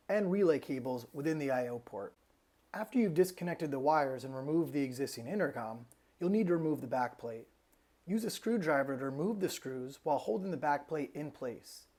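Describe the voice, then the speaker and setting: A man giving scripted instructional narration as the presenter of an installation tutorial, explaining things clearly step by step. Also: a little high.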